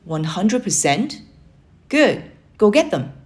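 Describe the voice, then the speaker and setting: A woman's voice: mid-range voice, sing-song, slow, a little mellow, voice pushed up. A stern, challenging uncle from a children's storybook, read by a woman. He is curt and commanding, a tough military man who demands full effort. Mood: brisk, challenging. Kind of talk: children's storybook character reading.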